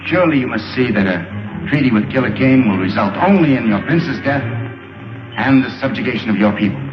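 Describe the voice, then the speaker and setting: An actor playing a bold, earnest young space pilot hero in a 1930s film serial, speaking in a clipped, declamatory way. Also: male voice, medium pitch, lively intonation, brisk, lightly acted. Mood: urgent, earnest. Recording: muffled old film soundtrack, echoey, music behind.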